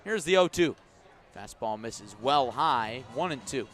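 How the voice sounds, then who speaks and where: An American man doing live radio play-by-play of a baseball game, measured between pitches and emphatic on the action. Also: animated, a bit bright, soft background music. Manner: measured description rising into emphatic calls on the action. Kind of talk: live radio sports commentary. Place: outdoors at a ballpark.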